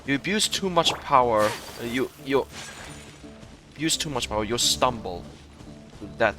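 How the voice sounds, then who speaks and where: A man giving casual, off-the-cuff gaming commentary in a halting, blunt, sweary manner. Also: medium pitch, expressive, over music. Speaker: gameplay commentator.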